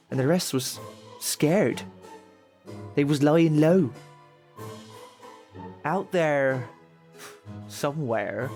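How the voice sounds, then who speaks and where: A man's voice: fairly high pitch, a little mellow. A man playing a bitter, wounded teenage son in an audio drama, speaking in colloquial slang. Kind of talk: audio drama dialogue and monologue.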